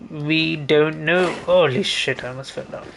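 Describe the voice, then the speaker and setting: A man's voice: fairly high pitch, a little slow, creaky, a bit bright, a little hiss or hum. A man livestreaming a video game, chatting casually and thinking aloud in a rambling, hesitant way as he plays. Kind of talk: casual gameplay commentary, chatting while playing. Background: video game music.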